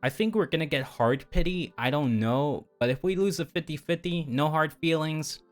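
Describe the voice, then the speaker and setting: A man's voice: on the high side, normal speed, faint music behind. A man streaming a game, chatting casually to viewers in a rambling, geeky, slangy way. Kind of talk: casual livestream chat to viewers while playing.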